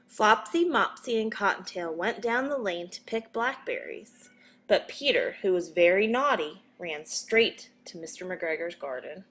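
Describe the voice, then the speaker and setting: A woman narrates a storybook for young children at a slow, careful pace. Her pitch sits in an ordinary middle range, and her delivery is expressive and measured. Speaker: storybook reader.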